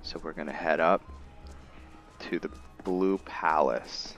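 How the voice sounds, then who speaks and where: A man's voice: a bass voice, slow, dull audio. A man hosting a gaming video with casual roleplay commentary. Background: game music.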